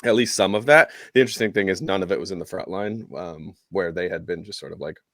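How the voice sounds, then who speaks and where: A man with a low voice talks through sport as a podcast co-host. His delivery is casual and rambling, feeling its way through each point. The sound is close and dry. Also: quick pace, fairly bright tone, no echo. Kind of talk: casual sports chat, match recap.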